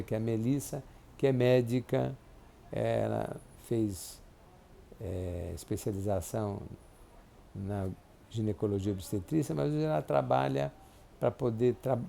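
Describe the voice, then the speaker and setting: A man, recalling his family in an oral history interview, speaking haltingly with many restarts and tag questions. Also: on the low side, gravelly, dark tone, a little hiss or hum. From Brazil. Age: 70s. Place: clinic office.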